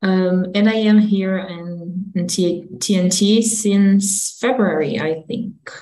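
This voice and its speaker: A woman's voice: medium pitch, slow pace, dark tone, far from the mic, poor audio. A woman from Brazil with a Brazilian accent, introducing herself hesitantly. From São Paulo, Brazil. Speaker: participant.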